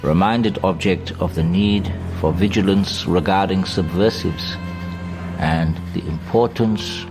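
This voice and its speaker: A cold state informer in a radio drama, low-voiced and deliberate. He dictates in formal, detached bureaucratic language, with music playing clearly underneath. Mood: cold, official. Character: cold officious male secret police informer dictating report. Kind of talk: clipped formal report reading in radio drama.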